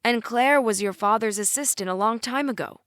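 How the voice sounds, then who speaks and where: A woman voicing a concerned mother in an audiobook, gently protesting in a careful, placating manner. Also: a little high, moderate pace, clean tone, dry recording, clean studio sound, natural voice. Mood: puzzled, defensive. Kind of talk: audiobook character dialogue.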